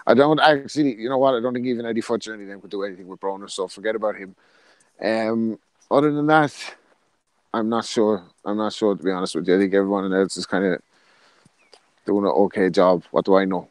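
An Irish man with a fairly low voice, chatting on a boxing podcast. He is laid-back and a little hesitant, quick to run himself down, and his sound is dry and close. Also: a little mellow, dry recording, poor audio. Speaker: podcast co-host. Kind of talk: casual boxing chat.

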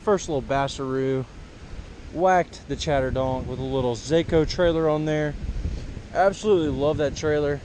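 Young man, fishing vlogger, excited chatter, head camera outdoors, light wind noise. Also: fairly high pitch, moderate pace. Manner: excited, laughing, talks to viewers as boys and girls. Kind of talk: casual fishing commentary. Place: grassy canal and pond bank outdoors. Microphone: head-mounted action camera.

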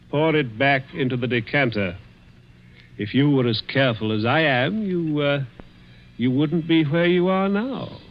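Sly, high-pitched radio villain. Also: male voice, slight background noise. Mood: sly, mocking. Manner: deliberate, taunting, chuckling villain with hesitant pauses. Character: sly, menacing, miserly rich man toying with his victim. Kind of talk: vintage radio thriller acting. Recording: muffled old radio drama recording.

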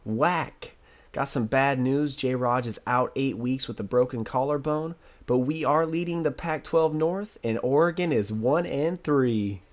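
A young man with a stuffy nose from a cold, giving casual, excitable commentary over his own football video game play. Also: mid-range voice, a little slow. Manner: excitable, trash-talking, frequent groans. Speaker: gaming YouTuber.

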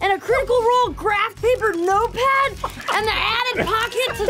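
A woman voicing a dorky, earnest teenage new girl in a campy eighties high school parody, melodramatic and full of slang. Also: moderate pace, bright, forceful tone. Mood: awed.

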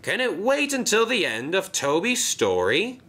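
A fussy, commanding railway director, put on by a man in a storybook reading. He speaks formally and crisply in a bright voice, with a pitch that climbs and dips dramatically. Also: wildly swooping pitch, heavily acted. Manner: formal, brisk.

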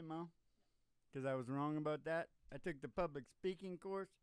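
A man's voice: fairly high pitch, clean tone, no room sound. An American man in his sixties hosting in a folksy, rambling, theatrical old-time showman way, close on a stage microphone. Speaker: host and singer of a country music show. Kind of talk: folksy rambling stage patter over guitar. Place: small curtained studio, seen indoors. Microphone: stand microphone, close. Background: acoustic guitar.

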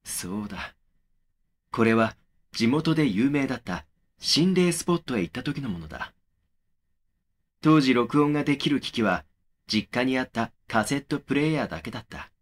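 A man acting as a young male narrator of a horror audio drama, at a medium pitch. He recounts his own eerie memories in a measured, brooding, matter-of-fact way. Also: very animated.

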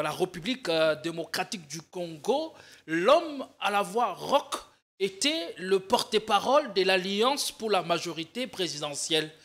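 A young man hosting a political TV interview show, animated and energetic as he introduces his guest and asks questions. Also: high-pitched. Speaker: TV talk show host. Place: TV studio. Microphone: desk microphone.

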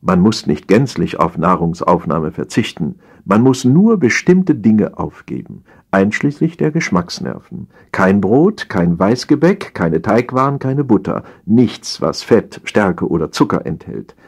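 Male narrator, husky voice, lively intonation, humorous scripted storytelling, expressive. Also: lowish voice. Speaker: audiobook narrator.

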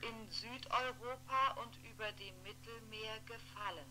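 An actress playing an impersonal weather announcer heard over a telephone, reciting formally in a 1960s radio play. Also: female voice, fairly high pitch, steady tone, measured pace, noisy background. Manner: formal, matter-of-fact recitation.

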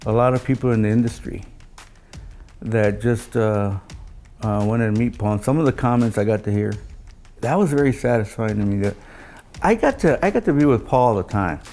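Older man, low gravelly voice, reminiscing. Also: expressive, darkish tone, faint music behind. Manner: halting, anecdotal storytelling with quoted dialogue. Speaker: loudspeaker engineer interviewee. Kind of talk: casual reminiscing interview. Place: living room of a home, indoors.

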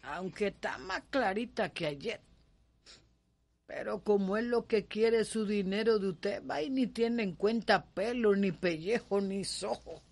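An actress with a Cuban accent playing a bossy, affectionate, folksy nursemaid in a radio soap opera. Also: female voice, medium pitch, measured pace, a little mellow. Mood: frank, caring. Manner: coaxing, folksy, scolding.

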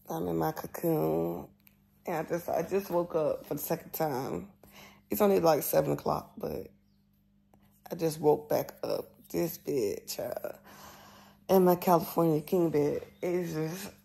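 A woman's voice: low-pitched, creaky, warm tone, breathy edge. A woman in her twenties chatting casually to viewers as a travel vlogger, filming herself on a phone in a villa. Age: 20s. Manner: chatty, addresses viewers as y'all. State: just woken, raspy voice. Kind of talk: casual vlog walkthrough. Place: resort villa bedroom, indoors. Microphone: phone held at arm's length.

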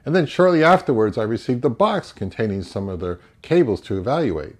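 Middle-aged American man, animated intonation, mid-range pitch, emphatic, opinionated, reviewer delivery. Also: fairly quick. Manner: emphatic, opinionated, rhetorical questions answered himself. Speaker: audio reviewer and host. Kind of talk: semi-scripted product review to camera. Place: small indoor home office.

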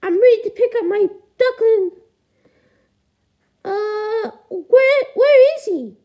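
A woman voicing a flustered, excitable mother duck who exclaims a lot in a comedic cartoon skit. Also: very high-pitched, takes it slowly, voice pushed up, full character voice. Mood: eager. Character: flustered mother duck collecting her overgrown duckling son.

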